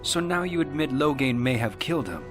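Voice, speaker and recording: A principled male warrior hero voiced by a man for a fantasy game. His mid-pitched delivery is blunt and confrontational, with loud music behind it. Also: lively intonation, fairly quick, close to an everyday voice. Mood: accusing. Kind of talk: fantasy game protagonist dialogue.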